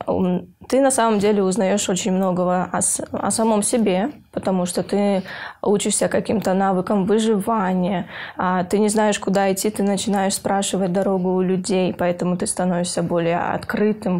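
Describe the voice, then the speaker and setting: A young woman, a university student from Kyrgyzstan, speaking earnestly in an interview, pausing with hesitant filler sounds and restarting her phrases. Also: mid-range voice, mellow. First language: Russian. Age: young adult. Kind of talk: interview for a university news feature.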